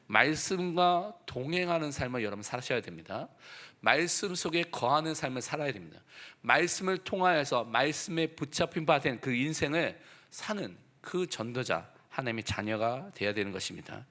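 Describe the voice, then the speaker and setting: A man preaching a church sermon as pastor, exhorting emphatically in a voice hoarse from a throat cold. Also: medium pitch, animated, normal speed, a bit bright. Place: church service.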